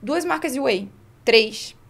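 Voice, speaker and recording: A woman's voice: high voice, expressive, slow, gravelly. A Brazilian woman in her thirties giving a casual, emphatic explanation as a podcast guest, close to a studio microphone. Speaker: podcast guest, networking specialist. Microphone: close studio microphone on boom arm.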